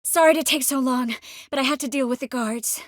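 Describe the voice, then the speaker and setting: A woman's voice: high-pitched, a little fast, no echo, studio quality, close to an everyday voice. A woman voicing a composed, caring young sorceress in a video game, earnest with a wry edge. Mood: urgent. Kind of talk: game dialogue acting.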